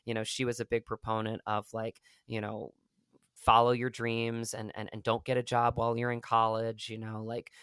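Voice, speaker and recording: A man's voice: medium pitch, monotone, normal speed, a bit bright, clean tone, dry recording, studio quality. A man speaking as an actor guest in an interview, telling personal stories in an earnest, reflective way with frequent verbal hedging. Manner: earnest, hesitant, many "like" and "you know".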